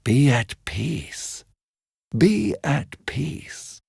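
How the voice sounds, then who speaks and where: A man voicing a fisherman's soul in a bedtime story, a calm, sly tempter with a coaxing, soothing delivery. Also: mid-range voice, extremely slow, creaky, husky, no room sound, clean studio sound, pitched above the performer's own voice, exaggerated voice.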